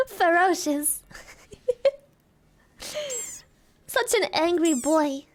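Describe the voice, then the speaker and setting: A woman's voice: extremely high pitch, animated, drawn-out words, a little hiss or hum. A woman streamer chatting casually with her viewers, giggly and playful, her throat sore and dry. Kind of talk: casual live-stream chat.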